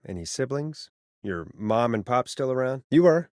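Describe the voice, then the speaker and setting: A man's voice: mid-range voice, expressive, normal speed, darkish tone, no echo, clean studio sound, natural voice. An actor playing a sardonic, easily exasperated male late-night radio host in a video game, with a wry, conversational delivery. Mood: wry, subdued.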